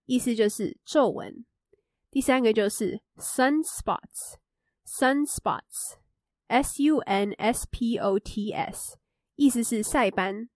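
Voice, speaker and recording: A woman with a Taiwanese accent hosting and explaining for language learners in a clear, instructive way. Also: on the high side, dry recording, studio quality. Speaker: show host and explainer.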